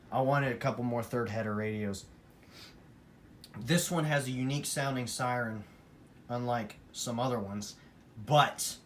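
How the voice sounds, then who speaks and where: A man with a mid-pitched voice presents his collection in an off-the-cuff hobbyist style. He leans on points by repeating them for emphasis. The amateur recording carries clear ambient noise behind him. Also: fairly bright tone. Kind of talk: casual unscripted vlog talk.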